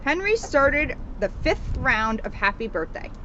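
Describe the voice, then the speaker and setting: A woman reading a children's storybook aloud in an animated, expressive way, with emphasis on the sound words. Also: high-pitched, unhurried, crowd noise. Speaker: storybook reader, police officer. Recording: noisy, dull outdoor recording.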